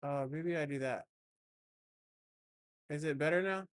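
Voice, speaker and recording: A man's voice: on the high side, a little slow, no room sound, clean studio sound. Hesitant young man fumbling with stream setup. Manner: hesitant, rambling, full of fillers and restarts. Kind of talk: casual chat while setting up a stream.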